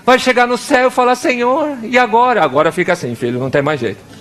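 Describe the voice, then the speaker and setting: A young Brazilian man with a Brazilian Portuguese accent preaching a sermon as pastor, animated, acting out little scenes and prayers in different voices. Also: very high voice, fast, slight background noise.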